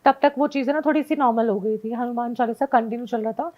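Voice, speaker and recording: A high-voiced woman tells her story in a casual, chatty way as a guest. She drops English phrases in often. The sound is slightly dull and lo-fi. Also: fast. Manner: mixes Hindi with frequent English phrases. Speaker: guest telling a personal ghost story.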